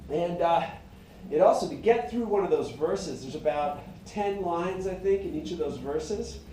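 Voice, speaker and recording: A middle-aged singer-songwriter with a high tenor voice, talking in a meandering, reflective way full of fillers and restarts. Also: male voice, animated, unhurried, dark tone, a little hiss or hum. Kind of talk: rambling casual monologue. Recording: very echoey room, mic at a distance.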